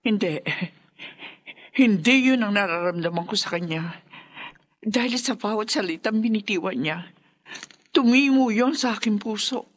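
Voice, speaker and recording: A woman's voice: medium pitch, expressive, measured pace, pauses often. An actress playing a grieving mother in a radio horror drama, speaking earnestly and insistently. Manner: earnest, pleading.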